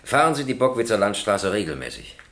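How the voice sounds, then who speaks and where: An actor playing a stern, formal presiding judge who questions with authority in a German radio crime drama. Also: male voice, fairly low pitch, sing-song, fast, a bit bright. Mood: formal, matter-of-fact. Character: stern, probing male presiding judge in a courtroom.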